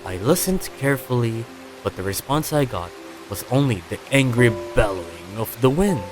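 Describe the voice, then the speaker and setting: A man narrating an audiobook story in a dramatic, measured way with pauses. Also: mid-range voice, sing-song. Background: music.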